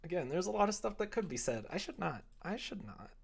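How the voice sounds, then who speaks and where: Animated young American man chatting on stream. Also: high voice, brisk, creaky, slightly rough. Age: young adult. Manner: rambling, expressive, reacting to chat comments. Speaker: live streamer. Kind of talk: casual livestream chat. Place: indoor room at a desk.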